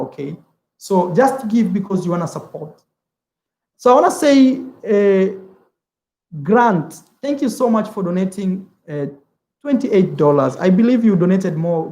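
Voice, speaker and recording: A man's voice: high voice, darkish tone, reverberant. A young man from East Africa whose first language is Swahili, chatting casually on a travel livestream, rambling, repeating words and calling the viewer "man". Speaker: travel vlogger.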